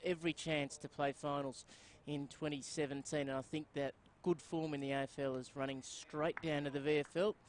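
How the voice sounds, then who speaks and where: An Australian man co-commentating a football broadcast, bantering with the host and giving measured previews. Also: on the high side, little pitch movement, slight background noise.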